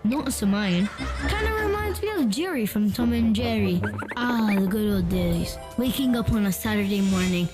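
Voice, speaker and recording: A woman voicing a cheerful, joking young boy in an animated story. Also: medium pitch, moderate pace, lightly acted. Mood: playful. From Egypt. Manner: playful, jokey, laughs at own jokes. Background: background music.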